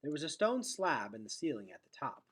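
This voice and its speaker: A man reading a storybook aloud expressively, with dramatic delivery that drops into hushed, breathy tones. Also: on the high side, sing-song, a little fast. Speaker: teacher reading aloud to students.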